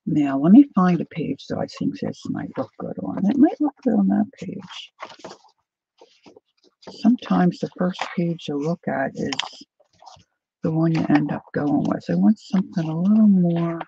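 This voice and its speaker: Soft-spoken crafting woman, gravelly warm tone. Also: on the low side, animated, muffled recording, slight background noise. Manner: soft, unhurried, chatty, greets viewers. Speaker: craft live-stream host. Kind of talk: casual crafting live stream chat. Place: indoor craft table, overhead camera.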